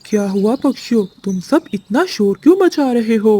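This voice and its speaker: A woman's voice: fairly high pitch, lively intonation, normal speed, dark tone, breathy edge. A woman voicing a stern, authoritative pigeon who gives commands in a moral-story cartoon. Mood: stern, outraged. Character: stern pigeon, guardian of forest law and fruit.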